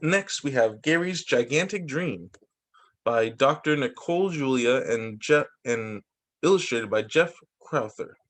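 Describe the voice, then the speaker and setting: A man working as a teacher, reading storybooks aloud for young children in an expressive way with informal asides. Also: medium pitch, a little slow.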